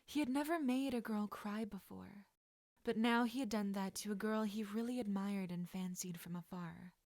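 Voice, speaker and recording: A woman reading a story aloud as an expressive audiobook narrator. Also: mid-range voice, normal speed, no room sound, studio quality. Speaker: audiobook narrator of fan fiction.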